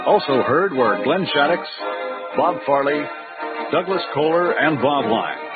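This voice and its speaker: A man's voice: a little high, big pitch swings, unhurried. A man announcing a radio adventure serial in a dramatic, scripted old-time style. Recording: tinny band-limited radio broadcast, music behind.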